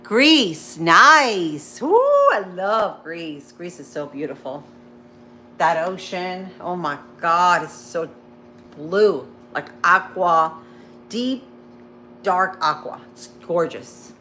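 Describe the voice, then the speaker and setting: Woman, 30s, Spanish-influenced accent, lower voice; rambling, friendly livestream host reading out comments. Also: animated, takes it slowly, sharp tone, slight background noise. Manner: chatty, rambling, answers viewer comments as she goes. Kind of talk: casual morning livestream chat while cooking.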